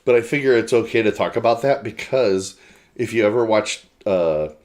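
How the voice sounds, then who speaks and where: A man's voice: lowish voice, moderate pace, darkish tone. A man from the American Midwest chatting casually about an album, teasing his friend and sharing trivia he looked up. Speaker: podcast co-host.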